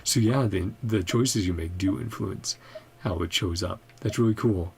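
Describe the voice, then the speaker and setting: Very low, creaky woman reacting to a game. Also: moderate pace, a little mellow. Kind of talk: casual reaction commentary and reading subtitles aloud.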